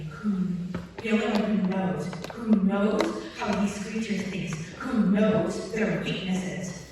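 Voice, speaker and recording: A woman's voice: mid-range voice, darkish tone, close to an everyday voice. A woman performs a desperate female would-be savior in a dramatic stage monologue. The delivery is urgent and imploring, with repeated pleas. It is heard from off in a long, echoing hall with faint noise. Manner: urgent, pleading, repeats phrases. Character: desperate, frantic would-be savior pleading to be believed. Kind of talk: dramatic monologue read at a workshop. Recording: very echoey hall, distant mic, faint noise.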